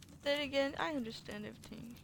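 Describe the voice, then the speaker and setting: A woman's voice: high-pitched, fast talker, creaky, a little hiss or hum. A woman doing casual game playthrough commentary, speaking colloquially, reacting and reading aloud as she plays. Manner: colloquial, talks back to the game. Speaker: gaming streamer playing through a horror game.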